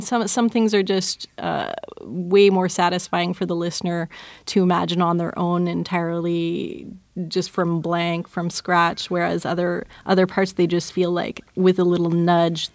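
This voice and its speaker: A woman guest in an interview who explains her creative work thoughtfully, haltingly searching for words. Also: fairly low pitch, no echo. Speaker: interview guest, audio fiction producer. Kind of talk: reflective interview conversation.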